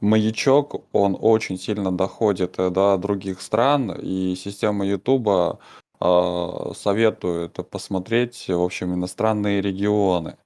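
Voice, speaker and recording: A man who is a guest on a livestream, speaking haltingly and hesitantly. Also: low voice, steady tone, darkish tone. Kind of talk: livestream chat.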